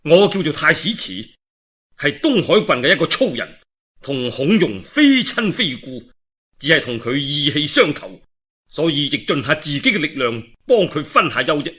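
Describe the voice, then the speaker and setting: A brave, loyal male warrior, acted by a man telling the tale, speaks with a Cantonese accent. The voice is forceful and swoops widely, with a husky edge. He is urgent, forthright and respectful, in the manner of a historical storybook hero. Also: on the high side, big pitch swings, moderate pace, full character voice. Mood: pleading. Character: brave, earnest young warrior, loyal and bold.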